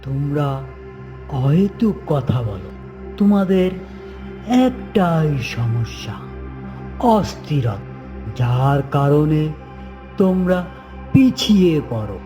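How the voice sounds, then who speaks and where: A man voicing a calm, wise uncle in a cartoon, admonishing youngsters in a measured, preachy way. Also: a little high, highs cut off, music in the background.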